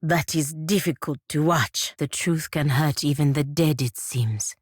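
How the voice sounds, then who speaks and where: A woman playing a proud, stern, principled warrior in a video game, with formal, measured phrasing and a dryly sardonic edge. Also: sits low, husky, no echo, clean studio sound. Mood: grave, measured. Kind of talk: video game character dialogue.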